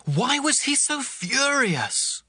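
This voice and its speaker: An actor playing a bewildered foreigner, questioning dramatically in a Bible audio drama. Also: male voice, very high voice, sing-song, slow, sharp tone, husky, no room sound, clean studio sound, exaggerated voice.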